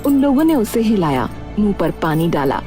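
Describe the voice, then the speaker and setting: A woman narrates a cartoon village tale as scripted storytelling. Music runs clearly behind her voice. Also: mid-range voice, big pitch swings, moderate pace.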